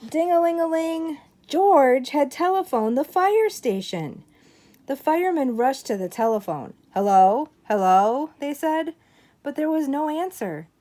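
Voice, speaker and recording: Older woman reading a storybook with lively swings. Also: high voice, unhurried. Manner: animated, dramatic storytelling with exclamations. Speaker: grandmother reading to grandchildren. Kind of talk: expressive children's picture-book read-aloud.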